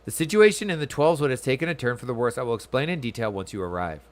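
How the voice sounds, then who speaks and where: A man voicing a composed, authoritative woman leader from a fantasy game in a formal, measured way. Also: medium pitch, expressive, close to an everyday voice. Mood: grave, urgent.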